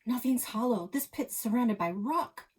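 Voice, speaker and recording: A woman's voice: on the high side, expressive, measured pace, husky, pitched above the performer's own voice. A woman voicing a bold, urgent young female adventurer in a storybook, with an emphatic, commanding manner. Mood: grim.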